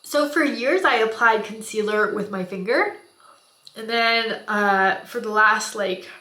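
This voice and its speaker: A woman's voice: a little high, unhurried, sharp tone. Stuffy-nosed woman vlogging in an echoey room. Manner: chatty, with frequent fillers and apologetic asides. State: stuffy nose from a cold. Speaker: beauty and mental health vlogger. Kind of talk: casual talking-head explainer while doing makeup. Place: home in Goma, Africa.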